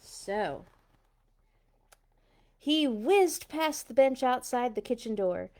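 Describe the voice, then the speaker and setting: A woman reading a children's storybook aloud, dramatic and expressive, with little personal asides. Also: on the high side, takes it slowly. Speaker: storytime reader.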